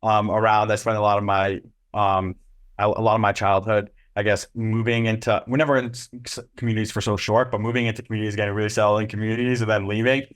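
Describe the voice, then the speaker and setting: Young male guest, low-pitched, loose and rambling with lots of fillers. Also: little pitch movement, fast talker. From Israel. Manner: loose and rambling, many ums and restarts. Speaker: agency co-founder and CEO. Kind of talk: casual interview answers.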